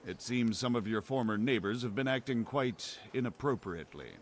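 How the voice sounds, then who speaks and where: An actor playing a measured, authoritative town bailiff in a medieval video game. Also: male voice, on the low side, slight background noise.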